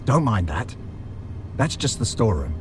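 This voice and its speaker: An actor playing a maniacal butcher crime boss in an audio drama, speaking with formal, theatrical, falsely courteous menace. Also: male voice, fairly low pitch, big pitch swings, normal speed, heavy background noise. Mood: polite menace. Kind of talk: audio drama villain monologue.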